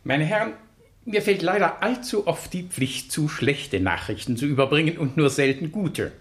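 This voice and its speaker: Male actor as a distinguished doctor, highish male voice, very animated intonation, formal, courteous, old radio play. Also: moderate pace, natural voice. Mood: formal, reassuring. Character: distinguished male medical specialist, courteous, measured, reassuring. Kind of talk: 1960s German radio drama acting.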